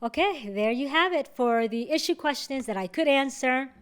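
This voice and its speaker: A woman from Somalia, hosting a video and answering viewer questions in a measured, step-by-step way. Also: high-pitched, expressive, clear, resonant tone. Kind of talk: explanatory talk to camera.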